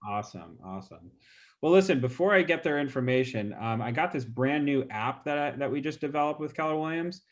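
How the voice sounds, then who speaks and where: A man with a stuffy nose talks through a real estate call script over a video call. His pitch is mid-range, and his delivery is chatty and informal, full of ums and restarts. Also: lively intonation, brisk. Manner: casual, chatty, many fillers. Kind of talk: role-play training demo.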